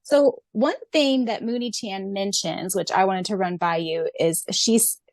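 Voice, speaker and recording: Female co-host, young adult, mid-pitched, casual fan-podcast chat, dry studio-like sound. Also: measured pace, no echo. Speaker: podcast co-host and audiobook narrator.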